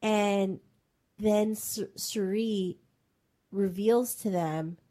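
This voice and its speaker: A woman's voice: medium pitch, drawn-out words, warm tone. Rambling, chatty woman recapping a show. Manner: rambling storytelling full of "like" and "um". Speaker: podcast co-host. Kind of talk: casual pop-culture chat.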